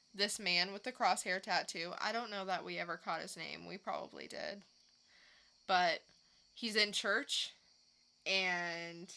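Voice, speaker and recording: A woman's voice: medium pitch, sharp tone. A woman co-hosting a podcast, giving a casual, unscripted recap and piecing it together as she goes. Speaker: podcast co-host reviewing comics.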